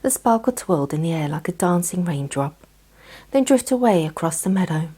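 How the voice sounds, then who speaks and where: Low, breathy woman telling bedtime stories. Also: animated, a little fast, warm tone. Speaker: children's storyteller. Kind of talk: gentle scripted bedtime story narration.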